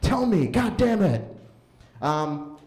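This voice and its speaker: Stand-up comic, man, late 30s; slightly high, very animated delivery, roomy basement sound. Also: slow. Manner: animated storytelling, jumps into high voices for quoted lines. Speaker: stand-up comedian. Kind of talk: stand-up set. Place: basement open mic venue.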